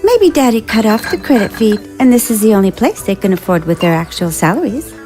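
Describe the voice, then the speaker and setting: A woman's voice: mid-range voice, big pitch swings, a little fast, mellow, faint music behind, close to an everyday voice. An actress playing a sultry, teasing alien woman in an audio drama.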